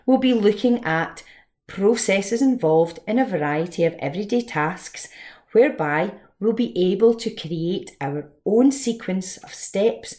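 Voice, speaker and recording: A woman's voice: lowish voice, mellow. A woman giving a scripted educational presentation as a lesson presenter. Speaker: teacher presenting a read-along lesson.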